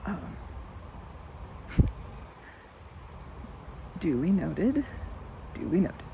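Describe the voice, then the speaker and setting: A woman's voice: on the low side, very animated, unhurried, vocal fry, exaggerated voice. A woman playing a timid, sweet, awkward young woman in an ASMR roleplay, stammering and hesitant, with a giggly, flustered manner. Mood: bashful, amused. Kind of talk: ASMR roleplay, improvised-sounding one-sided conversation. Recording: muffled with loud outdoor ambience noise.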